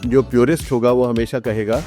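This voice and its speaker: Older Indian man telling anecdotes, medium pitch. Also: fairly even intonation, brisk, mellow, soft background music. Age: 60s or older. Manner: switches into English phrases, acts out quoted dialogue. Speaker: podcast guest, author. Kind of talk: conversational anecdotal storytelling.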